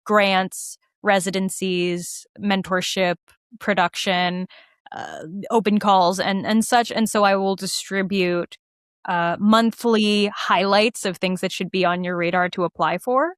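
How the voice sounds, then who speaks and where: Woman, smooth clear voice, chatty and playful, podcast guest, close dry sound. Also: mid-range voice, steady tone, a little slow, no room sound. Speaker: podcast guest, musical theater writer and performer. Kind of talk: casual interview chat.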